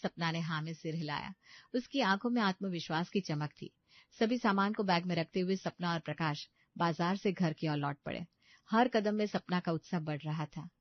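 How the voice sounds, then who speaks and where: A woman's voice: lowish voice, no room sound, dull audio. A woman reading scripted bedtime story narration.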